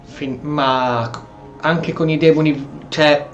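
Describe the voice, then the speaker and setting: A man's voice: on the high side, steady tone, slow pace, highs cut off, faint music behind. A man with an Italian accent giving casual commentary and role-playing along as he plays a video game. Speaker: gameplay streamer.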